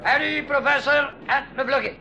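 An actor playing a bossy, blustering pirate captain who barks orders in a radio drama. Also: male voice, very high-pitched, moderate pace, gravelly, sharp tone, lo-fi sound, exaggerated voice. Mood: commanding. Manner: barking orders, blustering, commanding. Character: bossy, bellowing male pirate captain, gullible and brash. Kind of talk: 1980s school radio drama acting. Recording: noisy 1980s radio drama recording.

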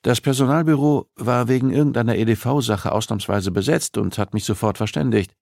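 A man voicing a female police psychologist who is polite, persistent and gently probing. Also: mid-range voice, fairly quick, gravelly, no room sound, studio quality, pitched above the performer's own voice. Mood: polite, businesslike.

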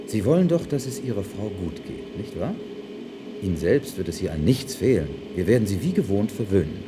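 A government official in an old radio play, polite on the surface and cold underneath. His lowish voice moves expressively through formal phrases. Noise is clear on the recording. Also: big pitch swings, a little fast. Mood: coldly menacing. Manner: formal, smooth, veiled threats. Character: suave, coldly menacing male Mexican government official. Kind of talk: German radio play acting. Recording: noisy archival radio drama recording.

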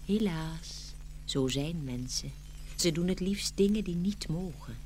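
A woman playing a gracious, gentle fairy of paradise in a children's fairy-tale radio play, measured and tender. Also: on the low side, expressive, slight background noise. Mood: solemn, tender.

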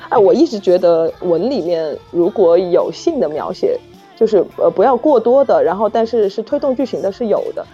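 A woman chatting casually as a guest author, halting and repeating phrases, with self-deprecating giggly humor. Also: medium pitch, lively intonation, warm tone, breathy edge. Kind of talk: casual unscripted chat. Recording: muffled lo-fi audio, music behind. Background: saxophone background music.

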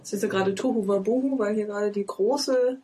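Young woman, mid voice, relaxed amused chat, noisy recording. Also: medium pitch, brisk. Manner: relaxed, amused, laughs easily. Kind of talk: casual chat. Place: home, at a table over tea. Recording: clear background noise.

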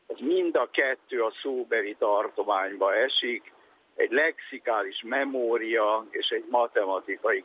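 A man giving an explanatory talk on education in a didactic way, listing points with emphasis. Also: medium pitch. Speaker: education and psychology speaker. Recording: tinny phone-like radio sound, faint noise.

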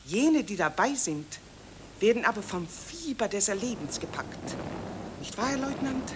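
A woman's voice: medium pitch, very animated, measured pace, a little hiss or hum. An actress playing a gushing, self-important female war reporter in a satirical radio drama, declaiming in an affected, theatrical way. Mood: rapturous.

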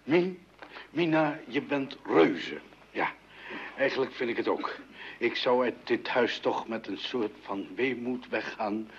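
A man's voice: mid-range voice, slow pace. A man acting a short-tempered, sheepish middle-aged father in a radio play, stammering and repeating himself when flustered. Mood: sentimental. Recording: dull lo-fi radio recording, faint noise.

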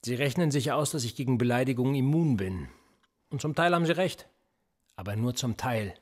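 An actor playing a sardonic, quietly menacing police interrogator in a radio play, speaking in a sarcastic, probing way. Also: male voice, mid-range voice, fairly quick, natural voice. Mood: provocative. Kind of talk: German radio play acting.

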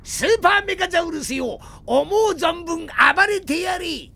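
A man playing a gloating old mad scientist in an anime audio drama, theatrical and bellowing, with a gravelly voice. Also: very high voice, expressive, measured pace, exaggerated voice. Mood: gloating, commanding. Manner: theatrical, cackling, bellowing commands. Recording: loud music under dialogue.

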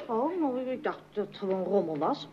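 An actress playing a chatty, put-upon young daughter in an old-fashioned radio comedy, with a plaintive, exclamatory delivery. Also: female voice, medium pitch, very animated, moderate pace, natural voice. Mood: wheedling. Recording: dull, noisy old radio recording.

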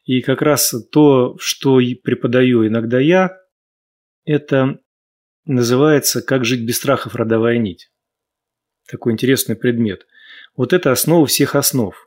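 A man hosts a live radio talk show. His voice sits at an ordinary middle pitch. He explains his ideas in a teaching manner, building his points up with examples. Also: steady tone, unhurried, a little mellow. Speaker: radio host.

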